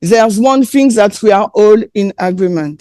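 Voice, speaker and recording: A woman speaking English with a French accent, French being her first language. She preaches slowly and haltingly, often pausing on fillers, in a soft, mellow tone. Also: mid-range voice. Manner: hesitant, frequent filler pauses. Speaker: presenter. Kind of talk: sermon with consecutive interpretation.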